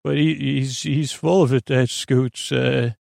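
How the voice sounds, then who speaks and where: A man with a creaky, gravelly voice at a middling pitch hosts a bedtime podcast, recorded close and dry. He meanders and stammers, doubling back on his own sentences and wandering into tangents. His delivery is reassuring and puts no pressure on the listener. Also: steady tone, darkish tone, dry recording. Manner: meandering, halting, stammering with tangents and self-corrections. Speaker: podcast host. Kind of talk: rambling scripted-loose bedtime podcast monologue.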